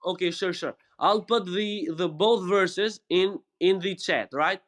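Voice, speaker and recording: A man whose first language is Albanian talks in a noticeably high voice on a voice chat. He is halting and repeats phrases, politely pressing to ask his question. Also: fairly bright tone. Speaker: debate participant. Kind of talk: casual online debate. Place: voice chat call.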